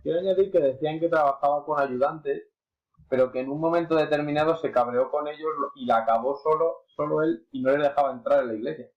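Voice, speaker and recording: A man's voice: fairly high pitch, fast talker. Chatty man teaching art history. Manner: chatty and informal, with colloquial asides. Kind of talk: casual art history lesson. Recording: dull lo-fi recording.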